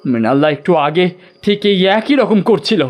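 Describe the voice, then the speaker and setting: A man's voice: high voice, sing-song, normal speed. A man playing a jittery young student. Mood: uneasy. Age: young adult. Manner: anxious, hesitant, flustered. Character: nervous young male college student writer. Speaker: aspiring writer. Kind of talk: audio drama acting.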